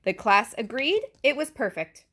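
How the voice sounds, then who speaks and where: A woman reading a picture book aloud to young children in an animated teacherly way, pausing to ask questions and chat about the story. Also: on the high side. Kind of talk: expressive read-aloud storytelling with asides and questions.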